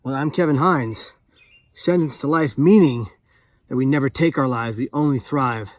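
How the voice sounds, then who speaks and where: A man's voice: mid-range voice, moderate pace. A man chatting casually as a vlogger, exuberant, playful and emphatic in his delivery. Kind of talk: excited casual vlog chat while opening a parcel.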